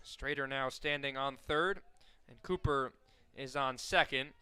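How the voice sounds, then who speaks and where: An American man calling live softball play-by-play as a radio announcer, conversational and ready to lift with the action. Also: medium pitch, unhurried, clean tone, dry recording. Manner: conversational between plays, excited calls on action.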